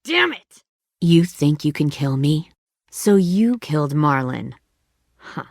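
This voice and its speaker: A woman's voice: low voice, very animated, takes it slowly, gravelly, no echo, clean studio sound, exaggerated voice. A woman playing a cold, menacing middle-aged commander of armed survivors in a dramatic video game. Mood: shouting, furious.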